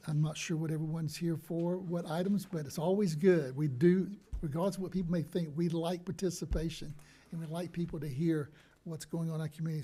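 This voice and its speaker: Soft-spoken man chairing a meeting. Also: on the high side. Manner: soft-spoken, formal procedural phrasing. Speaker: board chairman. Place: county meeting hall. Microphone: desk microphone.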